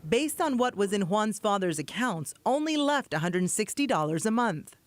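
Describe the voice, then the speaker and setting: A woman's voice: medium pitch, big pitch swings, normal speed, slight background noise. A woman working as a TV investigative reporter, narrating a scripted news package.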